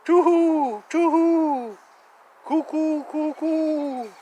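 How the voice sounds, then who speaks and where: A man voicing a gentle, musical city pigeon from a storybook, with hooting coos, recorded outdoors. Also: very high-pitched, extremely slow, voice pushed up, full character voice. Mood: gentle calling. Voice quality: hooting, cooing. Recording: outdoor recording with clear ambient noise.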